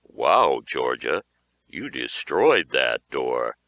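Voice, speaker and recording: A man's voice: extremely low pitch, very animated, very slow, pushed and bright, no echo, voice pushed down, heavily acted. A man voicing an easygoing, admiring father in an audiobook. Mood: impressed. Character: easygoing, impressed dad of a tough daughter. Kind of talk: acted audiobook dialogue.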